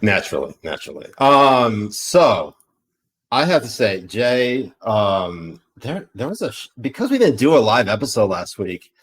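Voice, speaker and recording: A man's voice: lowish voice, sing-song, measured pace. A man with a Pakistani accent co-hosting a live political chat show, rambling with many fillers. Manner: rambling, filler-heavy, laughs easily. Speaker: podcast co-host.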